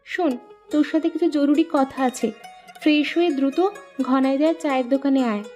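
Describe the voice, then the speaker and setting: A woman playing a bossy, teasing young woman in an animated story, with music in the background. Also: high-pitched, normal speed, lightly acted. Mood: casual, bossy. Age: young adult. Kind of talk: Bengali animated story dialogue.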